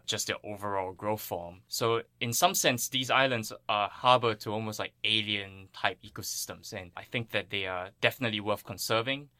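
A man's voice: on the low side, pushed and bright, dry recording. A man from Singapore giving earnest, thoughtful explanations as an interviewed plant ecologist.

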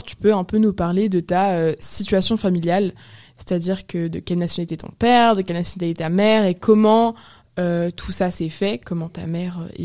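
A woman's voice: medium pitch, mellow. A young woman from France hosting a podcast, interviewing in a casual, conversational way. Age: young adult. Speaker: podcast host.

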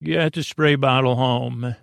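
Male sleep podcast host, creaky voice, mid pitch, goofy self-deprecating rambler who trips over his own sentences, recorded close and dry. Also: steady tone, no room sound. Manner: meandering, halting, self-interrupting. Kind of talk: rambling bedtime monologue.

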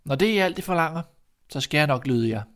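A man's voice: fairly high pitch, lively intonation, creaky, fairly bright tone. A man voicing a naive, fearless young son in a fairy tale, plain-spoken and insistent. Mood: cheerful, determined. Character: dim-witted fearless youngest son, earnest and naive boy.

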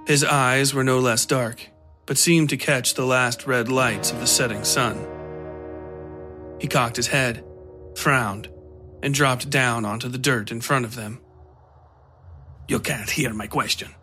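A male narrator reads a scripted piece of dark fiction. His voice sits at an average pitch. Music plays clearly underneath the reading. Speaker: story narrator.

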